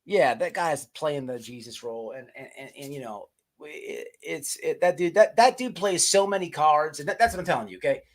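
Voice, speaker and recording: A man's voice: on the high side, expressive, normal speed. A man hosting a casual livestream, ranting and chatting to viewers in profane slang, often stammering and repeating his words. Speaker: livestream host.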